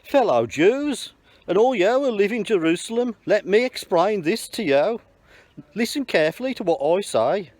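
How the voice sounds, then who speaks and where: A man reading the apostle Peter's speech to a crowd, earnest and steady. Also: sits high, moderate pace, no echo, pitched above the performer's own voice. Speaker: Bible reader. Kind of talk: scripture reading.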